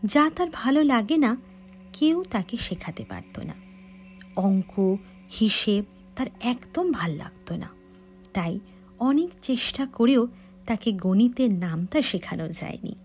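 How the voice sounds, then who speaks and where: A woman narrating a devotional story for children in a gentle, measured reading style with soft breathy passages. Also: fairly high pitch, expressive. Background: faint background music.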